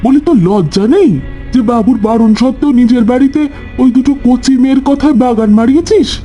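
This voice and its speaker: A scheming village housemaid played by a man scolds and threatens in a cartoon. The voice sits high with a soft, rounded tone, haughty and bossy over background music. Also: brisk. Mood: haughty, threatening. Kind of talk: cartoon dialogue.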